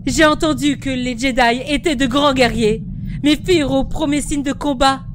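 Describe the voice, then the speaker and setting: A woman's voice: high voice, steady tone, normal speed, background noise, natural voice. A woman voicing a proud, defiant forest priestess in a dramatic comic dub, haughty and indignant. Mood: bitter, scornful.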